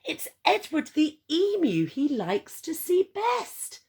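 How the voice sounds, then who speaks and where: Storybook emu, male, played by a woman: excitable, boastful, exclamatory, with big lifted swoops in pitch. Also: slow pace, gravelly, full character voice. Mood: astonished, delighted. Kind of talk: children's picture-book read-aloud.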